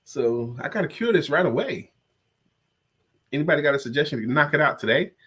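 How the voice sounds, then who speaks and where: A man's voice: fairly high pitch, animated, a little fast, a bit bright, slightly rough. A congested man co-hosting a casual live-stream chat, joking and self-deprecating. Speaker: co-host and coach.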